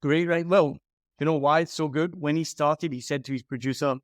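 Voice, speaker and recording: A man's voice: on the high side, fairly even intonation, brisk, no echo, studio quality. A man giving a frank, advising critique of someone's podcast in a casual chat. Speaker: podcast consultant.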